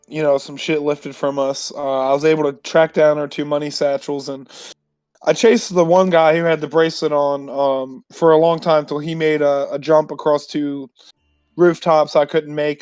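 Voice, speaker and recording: A man's voice: a little high, a little mellow, smooth, no echo. A man playing in a tabletop roleplaying game, talking casually and bluntly with a sardonic edge.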